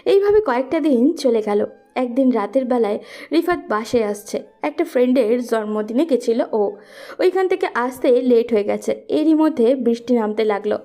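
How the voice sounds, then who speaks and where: High, mellow woman narrator. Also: animated, moderate pace. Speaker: story narrator. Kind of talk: scripted romantic story narration.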